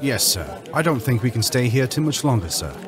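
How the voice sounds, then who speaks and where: An actor playing a deferential, eager soldier in a fantasy audio drama. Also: male voice, mid-range voice, very animated, fairly quick, close to an everyday voice. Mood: earnest, deferential. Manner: deferential, repetitive. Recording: loud music under the voice.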